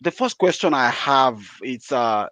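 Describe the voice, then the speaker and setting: A man's voice: medium pitch, animated, measured pace, a bit bright. A man hosting an interview, asking winding questions with fillers. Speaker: host.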